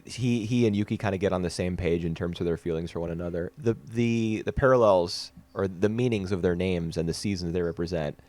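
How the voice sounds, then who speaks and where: A man's voice: deep voice, brisk, hardly any pauses, a little mellow, dry recording. A man co-hosting a podcast, chatting casually and analytically with fillers and restarts. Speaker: podcast co-host. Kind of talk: casual anime discussion.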